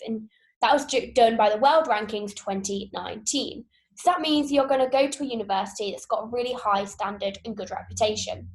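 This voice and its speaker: A young woman presents to would-be students in a bright, upbeat way. Her voice sits a little high, and she sounds keen and promotional. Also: poor audio. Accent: non-Geordie British. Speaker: university outreach ambassador.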